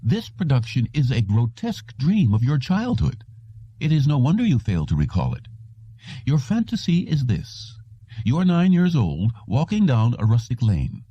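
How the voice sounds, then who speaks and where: A man acts out a stern old psychiatrist in an audiobook story. He speaks in patient, wordy, formal phrases, raised in pitch with lively swings. The sound is dry and a bit dull. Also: normal speed, slightly rough, no echo. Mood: measured, grave. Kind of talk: audiobook character reading.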